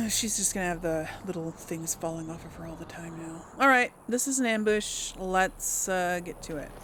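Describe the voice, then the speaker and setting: A woman's voice: on the low side, normal speed, fairly bright tone, slight background noise. A woman playing a video game and giving casual commentary, thinking aloud informally for her viewers. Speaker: video game let's player.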